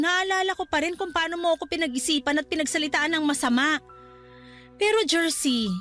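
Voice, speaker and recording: A woman's voice: very high-pitched, fairly bright tone. A woman acting an earnest, emotional resort manager with a Filipino accent in a radio drama, reproachful and pleading. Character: earnest young woman resort manager, wronged but conciliatory.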